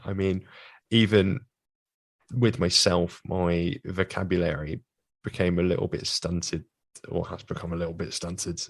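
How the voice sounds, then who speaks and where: A young British man, a physiotherapist, answering interview questions in a measured way, with many fillers and restarts. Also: low voice, creaky, dry recording. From United Kingdom. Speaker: guest, physiotherapist.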